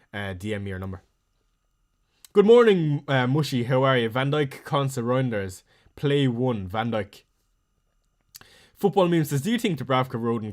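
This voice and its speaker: This is a man with a strong Irish accent running a live fantasy football stream. He talks in an easygoing, chatty way, reading out viewer questions and firing back short answers. His voice sits at a middling pitch for a man, recorded close on a desk mic. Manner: chatty, reads viewer messages aloud and answers them quickly. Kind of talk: casual live stream chat.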